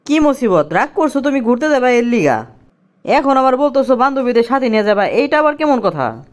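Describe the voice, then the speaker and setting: A woman's voice: on the high side, very animated, fairly quick. A woman voicing an exasperated, henpecked young husband in a comic cartoon, complaining with a Bangladeshi accent. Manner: complaining, incredulous. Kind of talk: comic cartoon dialogue in colloquial dialect.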